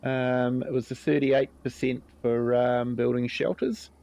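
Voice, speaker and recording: Slow-talking New Zealand man, slightly croaky. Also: medium pitch. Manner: relaxed, chatty, greets viewers by name, many ums. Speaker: livestream host. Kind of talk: live gameplay commentary.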